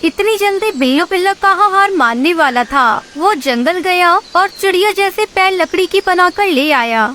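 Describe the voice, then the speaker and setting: A female narrator reads a scripted children's story. Her voice is extremely high in pitch. There is faint noise in the background. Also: lively intonation, normal speed, few pauses, sharp tone.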